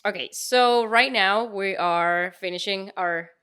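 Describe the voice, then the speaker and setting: A woman's voice: mid-range voice, takes it slowly, a bit bright, smooth. A woman from Venezuela speaking as an interviewer in a casual, conversational style.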